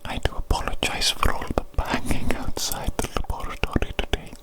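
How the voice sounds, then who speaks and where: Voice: fairly quick, natural voice. An ASMR performer playing a doting evil doctor, whispering breathily and soothingly, often repeating phrases. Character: doting mad evil doctor fussing over own creature. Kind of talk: whispered ASMR medical roleplay.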